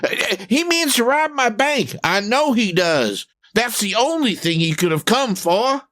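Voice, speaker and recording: A woman's voice: a little high, very animated, measured pace, fairly bright tone, dry recording. A woman playing a nervous, stammering male small-town banker in a comedy audio drama, flustered and jittery. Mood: anxious.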